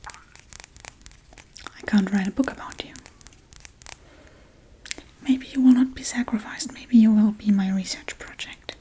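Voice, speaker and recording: A woman playing a scatterbrained, bossy witch in a whispered ASMR role-play, with breathy, casual, deadpan delivery. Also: fairly high pitch, rough recording. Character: scatterbrained, bossy Halloween witch tending her captive.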